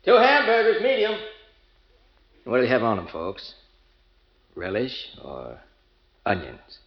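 Slow, deep-voiced counterman. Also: very animated, gravelly, roomy sound, exaggerated voice. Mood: businesslike. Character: matter-of-fact male lunch-counter man taking orders. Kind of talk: old-time radio drama acting. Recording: dull, narrow old radio recording.

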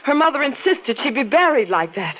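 A woman's voice: high voice, big pitch swings, fairly quick, slight background noise. An actress playing an earnest, intense young woman in a vintage radio mystery, speaking with urgent, dramatic emphasis. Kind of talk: 1940s radio melodrama acting. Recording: muffled old radio drama recording.

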